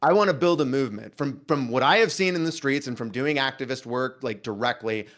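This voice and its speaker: A man in casual conversation, talking earnestly and haltingly, often repeating himself as he goes. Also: mid-range voice, a bit bright. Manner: earnest, halting, hedging with repetitions. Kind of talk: casual chat.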